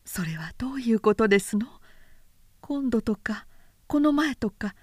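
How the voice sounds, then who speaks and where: A woman narrator voicing a proud, high-strung samurai wife who presses and pleads in polite, urgent speech in an audiobook story. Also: expressive, unhurried, no echo, studio quality. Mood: angry, demanding.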